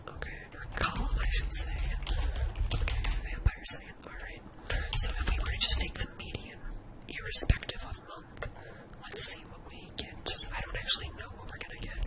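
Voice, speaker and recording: An unvoiced, breathy whisper from a tutorial presenter, sex hard to tell. The delivery is informal ASMR, thinking aloud through each step, with computer keys tapping behind the voice. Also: very high-pitched, poor audio. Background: computer keyboard typing.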